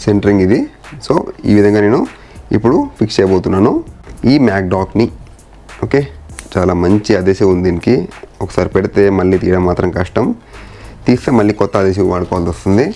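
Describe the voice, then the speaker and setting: Low-voiced mellow man, casual. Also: fast talker, pauses often. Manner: informal, mixes in English words and phrases. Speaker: motorcycle vlogger. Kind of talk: casual hands-on installation walkthrough.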